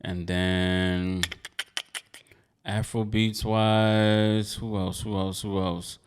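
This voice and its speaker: Guest male musician from Nigeria, low and relaxed, easygoing reflective delivery. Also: extremely slow, smooth. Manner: laid-back, reflective, slangy. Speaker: guest artist. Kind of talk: casual interview chat.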